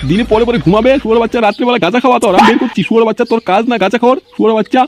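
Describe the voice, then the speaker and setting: An unusually high, rapid cartoon voice for a cranky middle-aged village father, played by a man. He scolds and threatens crudely, swearing constantly, over clearly noisy audio. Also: fairly even intonation. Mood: furious. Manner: scolding, threatening, crude curse-laden speech. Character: bad-tempered, stingy, abusive middle-aged village father. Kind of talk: comic cartoon dialogue.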